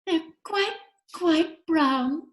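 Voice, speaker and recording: A woman's voice: very high-pitched, extremely slow, full character voice. A woman playing a wilful, adoring young upper-class Victorian lady in a theatrical comedy reading, with affected, precise diction. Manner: affected, precise, well-spoken. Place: virtual performance from home in isolation.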